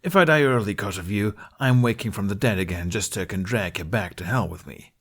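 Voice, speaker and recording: A man's voice: lowish voice, a little fast. Guy putting on a grumbly uptight man from a story, protective, always scolding. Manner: scolding, exasperated. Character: uptight, grumbling, protective male stage player.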